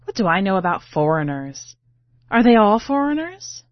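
A woman's voice: medium pitch, sing-song, moderate pace, natural voice. Female reader as sassy young ice cream seller, playfully hostile, storybook dialogue, roomy sound. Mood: dismissive. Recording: muffled, roomy home recording.

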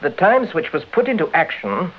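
An actor playing a polite, methodical British police inspector with a hesitant, deferential manner in a vintage radio drama. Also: male voice, high-pitched, sing-song, moderate pace, slightly rough, exaggerated voice. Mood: matter-of-fact. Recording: thin, narrow, hissy old radio recording.

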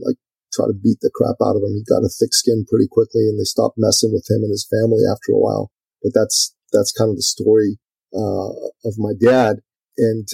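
A middle-aged man from Iran speaks in a low, gravelly, slightly husky voice with a dark, mellow tone. His delivery is flat and conversational, filled with ums and restarts. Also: no echo, rough recording. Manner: talkative, many fillers and restarts. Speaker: podcast guest and author. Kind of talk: casual interview talk.

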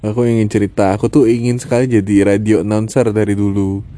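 A man's voice: on the low side, flat delivery, fast talker, warm tone, a little hiss or hum. A man with a thick Javanese-sounding accent co-hosting a casual couple podcast, cracking puns and laughing at his own jokes. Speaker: podcast co-host, husband.

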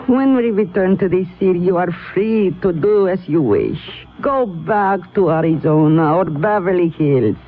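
Slow, weary old aunt. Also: female voice, on the low side. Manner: weary, scolding, labored. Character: weary, bitter old aunt, a failing mystic. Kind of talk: radio drama acting. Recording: thin narrow radio sound, faint noise.

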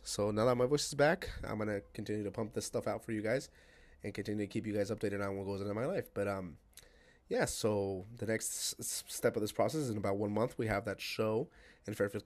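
A man hosting a casual podcast in a somewhat low voice. He meanders through a monologue full of ums and restarts, then trades loud, joking banter. Spanish slips easily into his speech. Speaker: podcast host.

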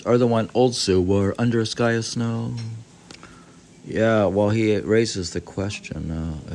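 An older man reading aloud and adding rambling, reflective commentary in a halting, musing way, as if talking to himself. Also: low voice, vocal fry. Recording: faint background noise.

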